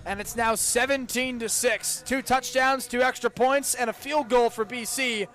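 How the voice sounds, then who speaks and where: A young man calling a college game as a radio play-by-play announcer, with brisk, precise calls that lift sharply on scoring plays. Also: very high-pitched, fairly even intonation, bright, forceful tone, faint music behind.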